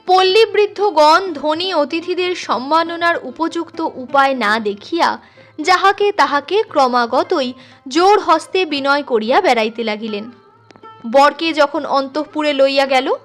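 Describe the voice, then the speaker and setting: A woman reading a literary story in an expressive, deliberate way, as a storyteller. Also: sits high. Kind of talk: scripted literary story narration. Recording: music under the voice. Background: instrumental music.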